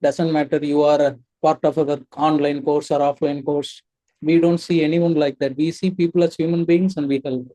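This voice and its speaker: A man's voice: on the high side, flat delivery, moderate pace, dark tone, poor audio. A man coaching doctors for a clinical exam, emphatic and instructive, often posing rhetorical questions. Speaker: medical exam mentor and teacher. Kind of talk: coaching lecture.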